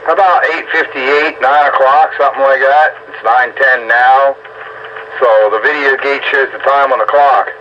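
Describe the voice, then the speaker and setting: A man's voice: mid-range voice, steady tone, moderate pace. A man chatting casually with fellow radio operators. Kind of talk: casual two-way radio chat. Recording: muffled radio audio with faint static.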